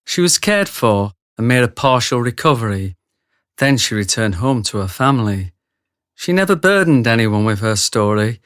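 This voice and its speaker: An actor playing an older, retired insider who narrates a radio drama in a measured, wry way. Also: male voice, mid-range voice, fairly bright tone, no room sound, studio quality, natural voice. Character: retired male insider narrating a dark confession.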